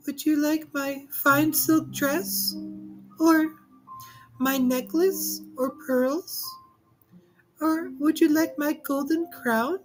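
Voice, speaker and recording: Woman playing a spoiled young princess, high whiny voice, storybook, faint music. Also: fairly even intonation, slow, rough recording.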